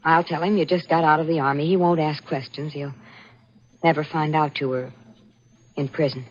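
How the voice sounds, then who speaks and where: A woman's voice: low voice, steady tone, moderate pace. An American actress playing a housekeeper wife who coolly plots with her crooked husband, clipped and insistent in 1940s radio thriller style. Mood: cool, persuasive. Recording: muffled vintage radio recording.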